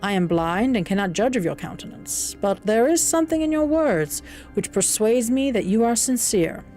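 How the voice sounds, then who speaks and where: A woman's voice: a little high, big pitch swings, moderate pace, dark tone, soft background music. A woman voicing a kindly, courteous blind old man in an audiobook, with a Southern US accent and an earnest, reassuring, formal manner. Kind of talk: audiobook character voice.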